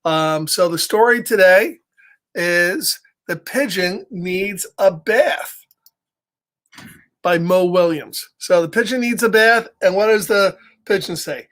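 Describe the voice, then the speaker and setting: A man's voice: high-pitched, takes it slowly, a bit bright. A man reading a picture book aloud to children in a playful, chatty way, breaking off casually to address his young listeners. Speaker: children's storyteller.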